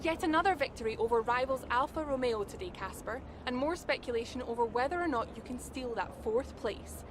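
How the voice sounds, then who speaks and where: A woman's voice: on the high side, lively intonation, fairly quick, background noise, lightly acted. A woman playing a probing motorsport reporter in a video game, putting questions in an interview style. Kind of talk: press interview in game cutscene.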